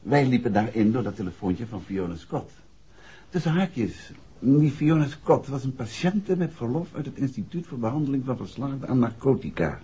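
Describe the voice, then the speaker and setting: An actor playing an assured detective husband explaining a case in a 1960s Dutch radio drama. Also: male voice, medium pitch, lively intonation, moderate pace, warm tone, slightly rough. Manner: expository, assured, conversational. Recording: muffled, dull 1960s radio recording.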